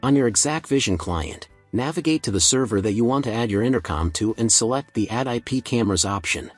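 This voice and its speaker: A man reading a scripted software tutorial in a measured, procedural instructional manner. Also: mid-range voice, lively intonation, faint music behind.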